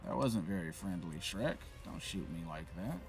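Male gamer, low gravelly voice, swinging inflection, rambling self-mocking commentary over game music. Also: lively intonation, normal speed. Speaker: gaming channel host. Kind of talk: casual gameplay commentary.

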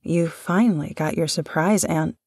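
A woman in a close-miked audio roleplay, speaking gently and affectionately with dry amusement, as a voice actor addressing a loved one. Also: low voice, moderate pace, husky, no echo.

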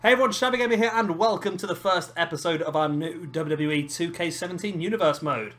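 A hoarse man, hosting and casually commentating a wrestling video game. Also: on the high side, quick pace, a bit bright. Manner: chatty, laughing, swears casually. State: hoarse, lost his voice. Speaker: host of a gaming channel.

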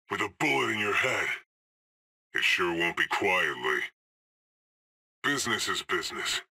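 A man's voice: on the low side, bright, forceful tone, exaggerated voice. An actor playing a cocky, cool male hired assassin in a video game, trading dry, sardonic one-liners.

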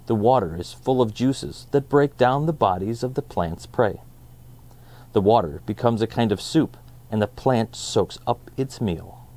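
Mellow-voiced man reading for children. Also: medium pitch, unhurried. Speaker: host of a children's read-aloud channel. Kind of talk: read-aloud nonfiction storytelling for children.

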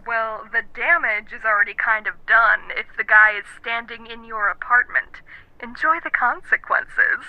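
Blunt young friend on dull phone. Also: female voice, a little high, normal speed, no echo. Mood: exasperated. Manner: blunt, exasperated. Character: bossy, blunt young female best friend. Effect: telephone. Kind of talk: naturalistic audio drama acting. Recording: dull, dry phone-call sound.

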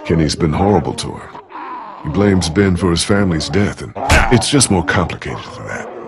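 An actor playing a weary, steady bitten survivor in a zombie video game, speaking in a subdued, measured way. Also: male voice, sits low, background noise.

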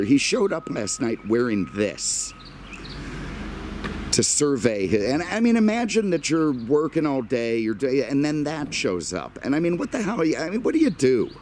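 A man's voice: mid-range voice, darkish tone, slight background noise. A middle-aged American man giving a rambling, casual car walkaround with wry, self-deprecating, exasperated humor and mild swearing. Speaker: car review vlogger.

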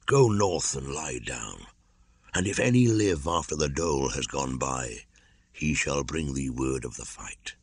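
A man voicing an old dying wolf in a storybook, quiet, slow, solemn and battle-weary. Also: extremely low pitch, expressive, gravelly, breathy edge, heavily acted. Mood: grave, resigned.

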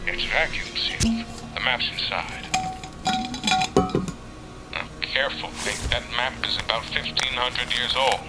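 An actor playing a dry, sarcastic older mentor in a fan audio drama. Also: male voice, mid-range voice, measured pace, pauses often, natural voice, poor audio. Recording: loud background music.

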